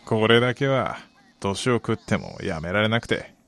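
Low-voiced middle-aged gambler, animated delivery. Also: male voice, moderate pace, no room sound. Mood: rueful. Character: aging gambling soccer fan, wistful father, quietly menacing. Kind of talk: Japanese radio drama acting.

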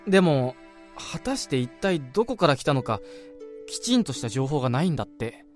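A man acting an excitable, gossipy office colleague in a Japanese radio drama, informal and easily exasperated. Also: medium pitch, fairly quick, soft background music, close to an everyday voice. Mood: eerie, explaining. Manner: animated, exasperated, informal storytelling. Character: excitable, gossipy male office colleague relishing an eerie rumour.

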